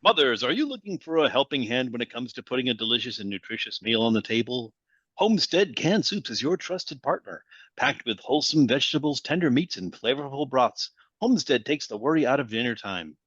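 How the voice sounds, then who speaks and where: A man playing an earnest 1940s radio pitchman, reading an advert in a polished, persuasive way. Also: very animated, a little fast, fairly bright tone, slightly rough, dry recording, dull audio. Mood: earnest selling.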